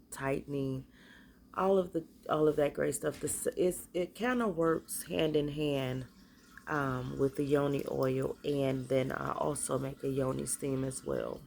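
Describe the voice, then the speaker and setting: A woman with a stuffy cold, casually showing off the spiritual bath and body products she makes, talking in a halting, hesitant way. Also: extremely low pitch, creaky, a little mellow, a little hiss or hum.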